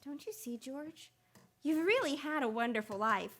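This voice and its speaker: Woman playing a very high, flustered guardian angel. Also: moderate pace. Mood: gentle, solemn. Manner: earnest, excitable, hesitant when flustered. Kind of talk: radio play acting.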